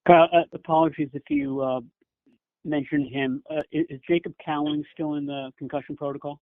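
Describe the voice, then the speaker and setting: A narrow, dry phone connection carries a somewhat high male voice. He is a reporter who asks his questions haltingly and apologetically. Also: creaky, no room sound. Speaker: sports reporter. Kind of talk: press question. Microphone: phone on conference call.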